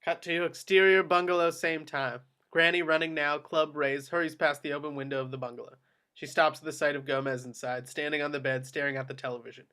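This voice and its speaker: A man's voice: fairly high pitch, flat delivery, brisk, bright, forceful tone, smooth. A man reading screenplay action descriptions aloud as narrator in scripted narration.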